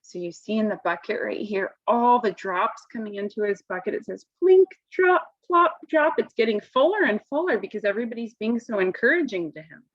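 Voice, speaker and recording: A woman reading a picture book aloud to children, expressively, pausing to explain it in simple words. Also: fairly high pitch, very animated, dull audio.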